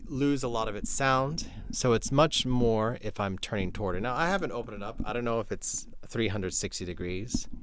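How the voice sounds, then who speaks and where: A man with a baritone voice hosting a casual livestream lesson, chatting while he demonstrates microphones and moves closer to and away from them. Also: slight background noise. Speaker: cello teacher hosting a live stream. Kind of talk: casual livestream demo talk. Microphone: switches between several desk microphones.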